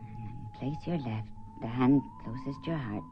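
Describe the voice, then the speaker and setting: Low, swooping, solemn spirit medium. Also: female voice, animated, soft background music. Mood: solemn, commanding. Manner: solemn, insistent, dramatic pauses. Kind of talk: radio drama acting. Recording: muffled radio play recording, noisy ambience.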